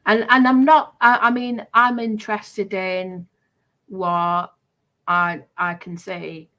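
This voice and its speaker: A woman's voice: medium pitch, level tone, slow pace, bright, forceful tone. A British woman streamer talking casually and unscripted, in a defensive, insistent manner. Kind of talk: casual unscripted livestream talk.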